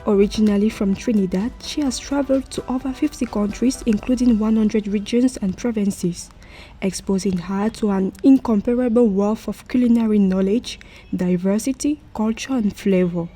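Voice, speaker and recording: A woman's voice: medium pitch, normal speed, dark tone. A woman reading a scripted profile as a voice-over, muffled, with music behind her. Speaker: voice-over narrator. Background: faint music.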